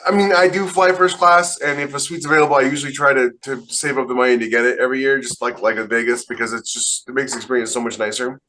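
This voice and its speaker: A man's voice: medium pitch, steady tone, quick pace, hardly any pauses, bright, forceful tone. A man co-hosting a podcast, chatting casually and giving advice in an opinionated, emphatic way. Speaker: podcast co-host.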